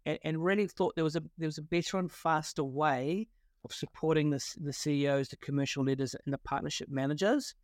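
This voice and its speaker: A man with a New Zealand accent talks through his career in a podcast interview, pausing often and restarting his sentences. His voice sits fairly high for a man. The sound is dry and close, with no room echo. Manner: hesitant, many fillers and restarts. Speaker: guest, partnership consultant.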